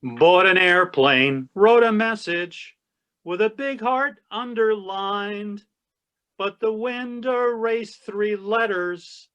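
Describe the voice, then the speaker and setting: A man's voice: sits high, slow, pushed and bright, smooth. A man performer reciting rhyming verse in readers theatre.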